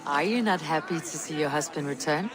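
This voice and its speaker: A woman's voice: deep voice, fairly quick, music in the background, crowd noise, close to an everyday voice, poor audio. An actress playing a loyal, steadfast Viking warrior woman, direct and wry, in video game dialogue. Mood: wry, friendly. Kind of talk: dramatic game cutscene dialogue.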